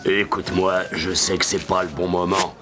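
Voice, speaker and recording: Cartoon executioner, male actor: big, low-voiced, kindly and apologetic, a little slow-witted. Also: level tone, natural voice. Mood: sheepish.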